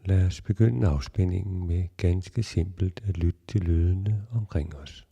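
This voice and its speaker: A man guiding a relaxation meditation in a calm, measured, instructional way with long pauses. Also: low voice, creaky, breathy edge, lo-fi sound. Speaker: yoga nidra guide.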